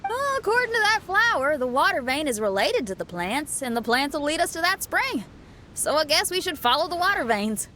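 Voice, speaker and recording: A woman voicing a calm, helpful young female traveler in a video game, gentle and earnest. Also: high voice, fairly quick, a little hiss or hum, exaggerated voice.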